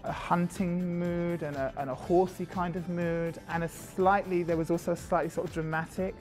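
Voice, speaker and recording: A man's voice: high voice, normal speed, soft background music. A man, a fashion creative director, talking casually and at length with many fillers. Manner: chatty, lots of fillers, animated when describing designs. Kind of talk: casual interview talk.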